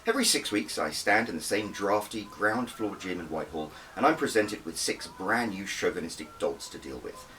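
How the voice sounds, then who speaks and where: A man's voice: sits low, expressive, normal speed, few pauses, bright, forceful tone, distant mic, slight background noise, low-quality recording. A man reading his own story aloud as an audiobook, with a wry, conversational manner and dramatic emphasis. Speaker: author reading own book. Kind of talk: first-person audiobook narration.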